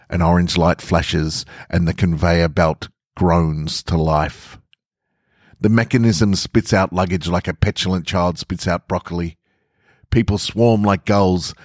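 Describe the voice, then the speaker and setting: A man's voice: low voice, darkish tone, dry recording, clean studio sound. A man hosting a podcast and reading short fiction in an informal, playful manner. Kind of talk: casual intro and narrated short stories.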